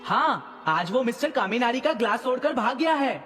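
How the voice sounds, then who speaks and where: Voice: mid-range voice, lively intonation, normal speed, fairly bright tone, big-room sound, faint music behind, lightly acted. A voice actor playing a sly, tattling schoolboy, sidekick to a bully, in a Hindi cartoon dub, needling yet obedient. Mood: scheming.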